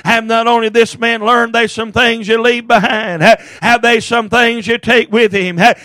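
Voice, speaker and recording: Preacher guy, real high voice, chants his sermon w/ "uh, and everything" every line, drags words out, yells now and then, dry close sound. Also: flat delivery, no echo. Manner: chanted preaching cadence with constant "uh, and everything" fillers; stretches key words slowly and breaks into shouts. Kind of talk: fiery hellfire sermon.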